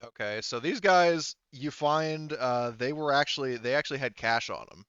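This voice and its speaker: A man's voice: medium pitch, normal speed, fairly bright tone, clear, resonant tone, lo-fi sound. A man narrating casually and with some swearing as game master of a tabletop roleplaying game.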